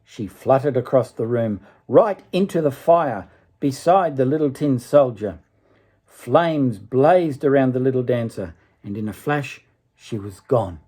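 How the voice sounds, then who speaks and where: A man's voice: medium pitch, animated, measured pace, dark tone, slightly rough. An older man reading a children's story aloud expressively, dramatically, with asides to a young listener. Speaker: storybook reader.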